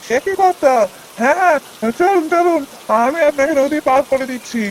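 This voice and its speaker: A man's voice: very high voice, moderate pace, a little hiss or hum, poor audio. A man voicing a humble, eager village boatman in a cartoon fairy tale, obliging and politely exclamatory. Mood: eager to help.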